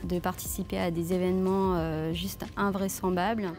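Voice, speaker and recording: A woman chef in her thirties, from France, talks through interview answers in a low-pitched voice. She pauses often on fillers and restarts her phrases. Soft music plays clearly under her voice. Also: flat delivery. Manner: hesitant, many fillers and restarts. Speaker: head chef. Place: leafy outdoor restaurant courtyard. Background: soft background music.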